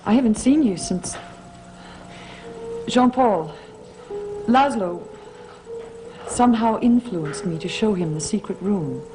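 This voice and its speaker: An actress playing an earnest, wounded heroine in a gothic soap opera, speaking with pleading sincerity. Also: female voice, mid-range voice, animated, slow pace, long pauses, music in the background, rough recording. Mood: apologetic, troubled.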